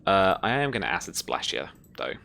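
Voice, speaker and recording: A deep, fry-laden voice runs a tabletop roleplaying game as game master. The delivery is informal, moving between rules talk and vivid description. There is noticeable background noise. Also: big pitch swings, brisk, sharp tone.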